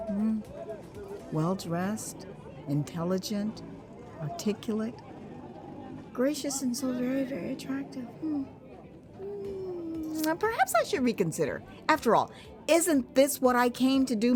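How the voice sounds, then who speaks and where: An American woman narrating her own dramatized memoir in a theatrical, expressive way, savoring her phrases. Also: on the high side. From Texas, United States. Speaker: author and narrator.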